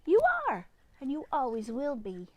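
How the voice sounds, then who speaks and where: A woman's voice: high voice, expressive, moderate pace, a little mellow. A woman reading the part of a devoted father in a picture book, affectionate, encouraging and reassuring. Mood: delighted. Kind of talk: picture-book read-aloud.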